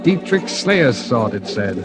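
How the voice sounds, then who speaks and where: A man's voice: medium pitch, big pitch swings, slow pace, over music. An actor playing a desperate amnesiac man wanted for murder in a 1940s radio thriller, urgent and insistent, on an old radio recording. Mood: grim, brooding. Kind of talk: 1940s radio thriller acting with first-person narration.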